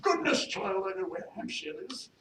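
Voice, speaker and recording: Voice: mid-range voice, sing-song, moderate pace, fairly bright tone, pitched above the performer's own voice. A reader voicing a haughty, peevish widow of the minor gentry who scolds in formal, bossy speech, as in a period novel. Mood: indignant, scolding. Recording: noisy echoey room, distant mic.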